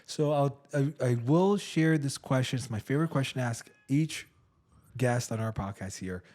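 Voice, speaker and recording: A man's voice: mid-range voice, moderate pace. Man hosting a real estate podcast. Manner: animated, asks questions. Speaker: podcast host. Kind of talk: casual interview.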